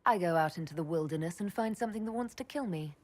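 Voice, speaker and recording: A woman's voice: lowish voice, quick pace, darkish tone, natural voice. An actress playing a composed, resolute woman leader with dry wit in a video game, speaking directly. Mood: dry, frank. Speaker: leader, inquisitor. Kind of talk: video game cutscene acting.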